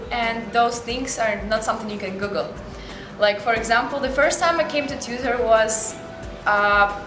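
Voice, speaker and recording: A woman's voice: on the high side, echoey room. A young woman from Ukraine with a Ukrainian accent, a university student talking earnestly in an interview testimonial. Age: young adult. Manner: earnest, reflective, with frequent "like" fillers.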